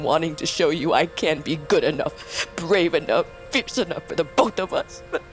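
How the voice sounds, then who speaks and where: Solemn young warrior princess, played by an actress, over music. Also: female voice, low voice, lightly acted. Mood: anguished, weary. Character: grieving young warrior princess of a wolf tribe, fierce but lonely. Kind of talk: immersive fantasy audio drama acting.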